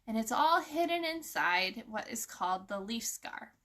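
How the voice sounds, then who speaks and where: A woman's voice: on the high side, expressive, a little slow, fairly bright tone. A woman hosting a children's story time, explaining things informally and putting questions to her young listeners. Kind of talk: informal nature lesson for children, with read-aloud.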